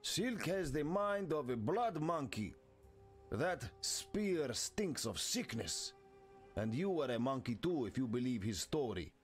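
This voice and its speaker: A man's voice: mid-range voice, sing-song, takes it slowly. A blunt, scornful male outlaw in a fantasy game. He speaks tersely and sardonically in a mid-range voice, with faint music behind. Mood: scornful, mocking. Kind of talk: scripted game dialogue.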